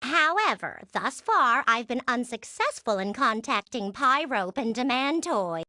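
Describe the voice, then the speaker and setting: A woman's voice: a little high, big pitch swings, measured pace, bright, forceful tone, no room sound, clean studio sound, exaggerated voice. A woman playing a formal, fussy, theatrical female alien gem subordinate in a cartoon game, eager and militant. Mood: officious. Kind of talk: animated game cut-scene acting.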